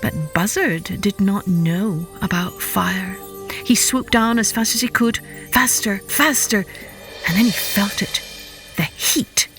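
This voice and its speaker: Female kids' storyteller, unhurried, expressive, dramatic scripted read, light music underneath. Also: medium pitch. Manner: dramatic, deliberate, with repetition for effect. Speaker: children's podcast storyteller.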